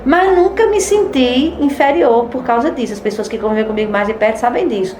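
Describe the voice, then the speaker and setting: Woman administrator, firm testimony, bright-ish pitch, roomy sound. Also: a little fast, noisy background. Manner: firm, reminiscing, rhetorical questions. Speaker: institution administrator. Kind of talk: interview testimony.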